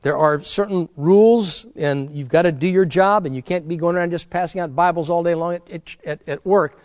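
A man's voice: fairly high pitch, moderate pace, darkish tone, no room sound, studio quality. A middle-aged man preaching a sermon, earnest and exhorting, often restarting his words as he talks. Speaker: pastor.

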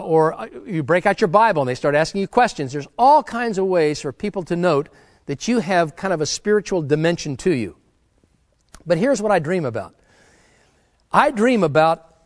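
A man's voice: fairly high pitch, no room sound, studio quality. A middle-aged man preaching a sermon, earnest and exhorting, often restarting his words as he talks. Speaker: pastor.

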